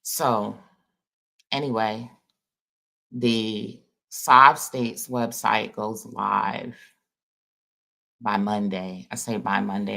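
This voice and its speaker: A man's voice: lowish voice, gravelly, pushed and bright. A man giving a loose, rambling spiritual talk to his viewers in an informal, folksy way. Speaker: spiritual vlogger. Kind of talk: casual spiritual monologue.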